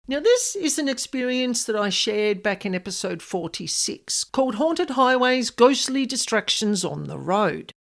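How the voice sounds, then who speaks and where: Woman, podcast host, higher-pitched, very lively intonation, emphatic, informal solo storytelling. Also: a little slow, vocal fry, hardly any pauses. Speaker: podcast host, paranormal specialist. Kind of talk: informal scripted podcast monologue, personal stories and advice.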